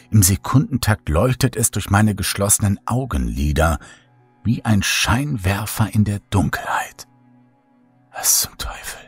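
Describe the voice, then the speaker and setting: A man narrating a first-person horror story as an audiobook, in a slow, hushed, dramatic way. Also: fairly low pitch, slightly rough.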